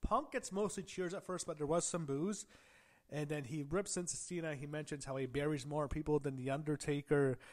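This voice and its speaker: A man who is feeling under the weather and whose voice is a little off. He recaps a show in a casual, rambling way, with fillers, self-corrections, gasps and some throat clearing. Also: fairly high pitch, fairly even intonation, brisk. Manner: gasping and clearing throat at times. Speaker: wrestling show reviewer.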